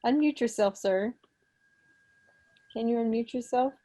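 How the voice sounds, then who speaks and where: A woman's voice: on the high side, measured pace, dark tone. An American woman in her thirties hosting a quiz over a home video call, casual and playful like a mock schoolteacher. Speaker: meeting host, quizmaster. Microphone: computer mic on a video call.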